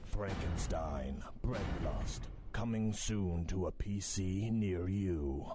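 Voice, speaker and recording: An actor playing an ominous, dramatic announcer in a horror game trailer. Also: male voice, sits low, slow pace, noisy background. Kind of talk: trailer voice-over.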